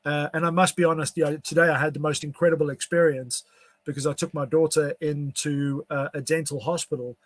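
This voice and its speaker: A man from South Africa, whose first language is Afrikaans, chatting on a podcast in a fairly high voice. He trips over words and leans on fillers and restarts as he talks. The sound is close and dry. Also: fairly even intonation, no echo. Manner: halting, many fillers and restarts. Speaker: podcast guest. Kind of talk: casual conversation.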